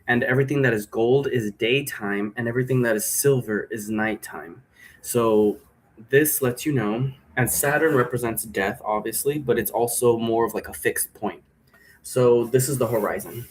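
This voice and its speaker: A man's voice: medium pitch, low-quality recording. A man in his thirties giving a casual, unscripted explanation of game rules in a rambling, informal way, often trailing off and circling back. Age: 30s. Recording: noticeable background noise.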